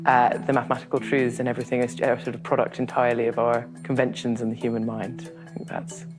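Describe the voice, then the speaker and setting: A woman giving a thoughtful, halting answer in a documentary interview. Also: extremely low pitch, flat delivery. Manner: thoughtful, halting, ends with a laugh. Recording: music bed under the voice.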